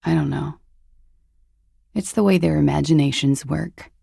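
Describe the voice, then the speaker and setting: An actress playing a cool, guarded young woman in a video game, with a husky, breathy, gravelly voice and a languid, dryly understated delivery. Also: low voice, measured pace, warm tone, dry recording, heavily acted. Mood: guarded, uneasy. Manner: languid, dry, wryly understated. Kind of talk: video game character dialogue.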